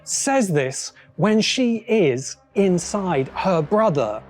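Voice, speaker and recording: A man narrating a scripted video essay as host, phrasing deliberately with pauses for emphasis. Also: high-pitched, expressive, a little mellow, soft background music. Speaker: host, science fiction critic.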